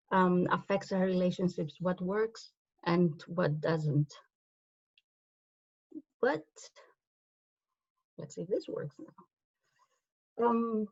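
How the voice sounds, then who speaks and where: A woman's voice: medium pitch, a little mellow, no echo. Greek-born woman, native Greek speaker, conference presenter; loose, um-filled delivery, dry and slightly muffled recording. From Greece. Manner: conversational, frequent ums and self-corrections. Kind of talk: conference talk with slides.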